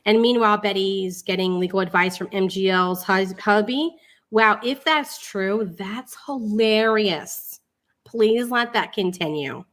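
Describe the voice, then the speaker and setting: Female livestream host, medium pitch, informal and wry, able to switch between formal read-aloud and mocking casual commentary. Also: measured pace, a bit bright. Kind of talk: live online commentary, reading a legal document aloud with casual asides.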